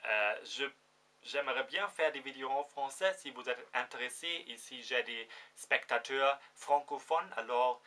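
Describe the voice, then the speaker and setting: A young German man with a German accent talks to the camera in careful, unhurried French, stopping often to hunt for the right word. His voice sounds bright and clear, with plenty of hesitant fillers between phrases. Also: mid-range voice. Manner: hesitant, searching for words, many fillers. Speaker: vlogger. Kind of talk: casual direct-to-camera vlog.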